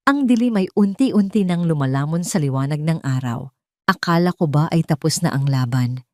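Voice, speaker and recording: A woman narrates a dramatic story in the first person. Her voice sits low, and the recording is close and dry, with a scripted storytelling delivery. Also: animated, moderate pace, no room sound.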